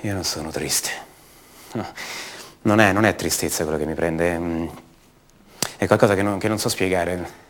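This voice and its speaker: An actor playing the brooding adult son of a disappeared woman, speaking haltingly and intimately in a radio theatre monologue. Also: deep voice, a little hiss or hum, close to an everyday voice. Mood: troubled, anguished. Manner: halting, repeats words, intimate.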